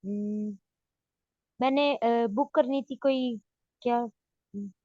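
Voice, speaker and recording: A woman student in a class, answering in a hesitant, halting way with many fillers. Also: fairly high pitch, mellow, dry recording, lo-fi sound.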